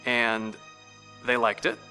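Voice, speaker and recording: A man's voice: medium pitch, flat delivery, takes it slowly, bright, forceful tone. A man speaking as a composer in an interview about his own work. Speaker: film and game composer. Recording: music playing behind the voice. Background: background music.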